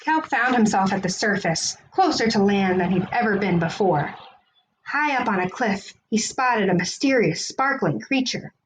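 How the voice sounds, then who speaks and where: A woman host reading a children's picture book aloud in an expressive storytelling way, with drawn-out dramatic phrasing. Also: medium pitch, a little hiss or hum, low-quality recording.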